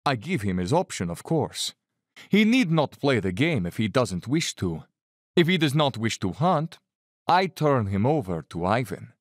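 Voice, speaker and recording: An aristocratic Cossack general in an audio drama, a hunter with no conscience. He is smooth-mannered and condescending, never hurried. His pitch sweeps theatrically in a dry, close recording. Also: dry recording. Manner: urbane, bland, condescending, theatrically unhurried. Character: suave, cold-blooded aristocratic Cossack general, fanatical big-game hunter.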